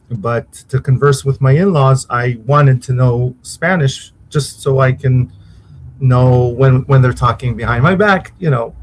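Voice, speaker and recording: Man, Hebrew speaker, halting, searching for words, mid-pitched voice, faint background hum. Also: darkish tone, poor audio. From Israel. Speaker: interview guest, uncle of a victim. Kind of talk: conversational interview answers.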